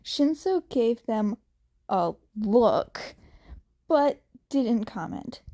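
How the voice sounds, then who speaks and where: A woman reading fan fiction aloud as an expressive narrator, with halting, deliberate pauses. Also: fairly high pitch. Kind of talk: expressive scripted story narration.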